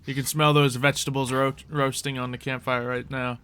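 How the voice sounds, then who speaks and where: A man's voice: medium pitch, flat delivery, brisk, bright, forceful tone, slight background noise. A man running a tabletop roleplaying game as game master, narrating in a playful, descriptive way among friends.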